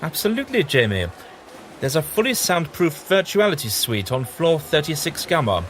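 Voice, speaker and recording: A man's voice: on the high side, sing-song, normal speed, a bit bright. A man playing a kindly, bookish, eccentric time traveller with an amiable, slightly absent-minded manner in an audio drama. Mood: helpful, distracted. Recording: audible background noise.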